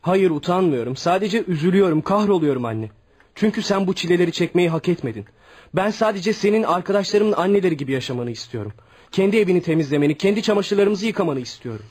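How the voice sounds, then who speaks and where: A man's voice: high-pitched, animated, fast, a little mellow, slightly rough. An actor playing a troubled, protective sixteen-year-old son in a radio drama, earnest and downcast as he protests. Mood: bitter, pleading. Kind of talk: scripted radio drama acting.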